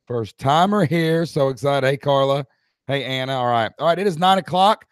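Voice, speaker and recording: Man, hoarse, upbeat live-stream host, dry close sound. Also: mid-range voice, moderate pace, no echo. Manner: upbeat, addresses the chat audience directly.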